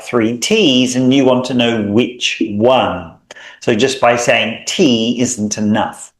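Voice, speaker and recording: Unhurried Australian man teaching. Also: medium pitch. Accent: Australian, mild. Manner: relaxed and explanatory, drawing out example sounds with small hesitations. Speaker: Thai language teacher. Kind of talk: recorded language lesson. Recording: echoey room.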